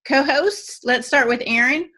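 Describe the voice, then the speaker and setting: A woman's voice: high-pitched, a little slow, pushed and bright. A woman hosting a podcast with casual, playful banter and joking asides. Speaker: podcast host and producer.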